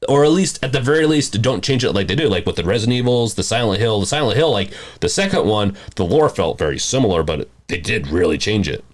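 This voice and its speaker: A man co-hosting a casual podcast, thinking aloud in a loose, rambling way as he gives opinions. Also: lowish voice. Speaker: podcast host.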